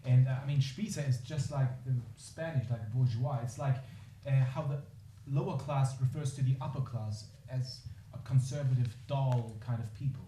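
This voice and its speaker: A man's voice: mid-range voice, moderate pace, dark tone, slight background noise. A man on a panel comparing word meanings, eager and a bit hesitant. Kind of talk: panel discussion. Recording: echoey room, distant mic.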